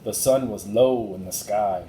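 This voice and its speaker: A man's voice: unhurried, warm tone. A man narrating a children's storybook as a reader, recorded in an echoey room. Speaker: audiobook reader. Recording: roomy echo, faint rumbling noise.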